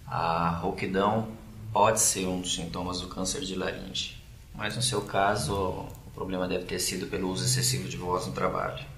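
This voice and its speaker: Actor as reassuring doctor, very deep and even; roomy echo. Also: male voice, moderate pace. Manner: calm, explanatory. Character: calm, reassuring male doctor. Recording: roomy echo with faint noise.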